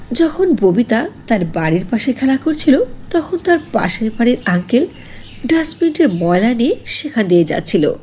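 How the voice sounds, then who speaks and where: A woman's voice: a little high, a little mellow, slightly rough. A woman narrator reading a scripted storybook tale for a cartoon.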